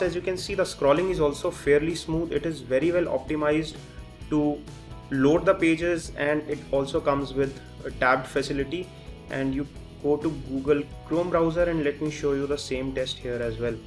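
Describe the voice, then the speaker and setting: A man with a fairly high voice delivers a product review. He explains each point methodically as he demonstrates. Soft guitar music plays behind him. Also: fairly even intonation, normal speed. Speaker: tech reviewer, host. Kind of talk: phone review commentary over demonstration.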